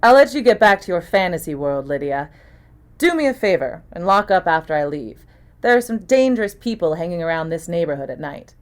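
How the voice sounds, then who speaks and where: A woman's voice: fairly low pitch, big pitch swings, a little fast. A woman voicing a tough, wry female detective in an audiobook, dry and matter-of-fact. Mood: brisk, guarded. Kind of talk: acted audiobook dialogue.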